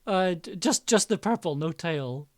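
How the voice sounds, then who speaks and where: Expressive, unhurried low-voiced woman streaming. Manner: giggly, expressive, effusive. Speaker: audio drama producer and livestream host. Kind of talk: casual livestream chat while editing audio.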